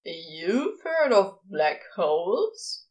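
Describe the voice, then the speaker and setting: A woman's voice: medium pitch, very animated, drawn-out words, darkish tone. A woman voicing a sardonic, casual male celestial being with offhand, clipped phrasing in a fan fiction audiobook. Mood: teasing.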